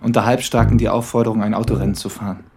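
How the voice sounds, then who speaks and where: A man's voice: on the low side, level tone, rapid-fire, vocal fry, darkish tone, faint music behind. A man playing an earnest, bookish police investigator who lectures precisely, in a radio crime drama. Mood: matter-of-fact. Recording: roomy, echoing interior.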